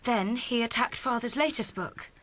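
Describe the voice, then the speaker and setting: An actress playing a gentle, concerned, well-bred English woman in a radio drama, polite and earnest. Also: a little high, normal speed, no room sound. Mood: worried.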